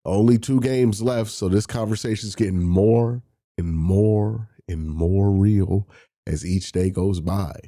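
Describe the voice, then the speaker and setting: A man with a head cold hosts a solo sports talk show, his voice nasal and a little breathy. He speaks in a low, mellow, darker tone. He explains draft rules step by step. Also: takes it slowly. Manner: explanatory, walks through tiebreaker rules step by step. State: congested from a cold. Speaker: sports channel host.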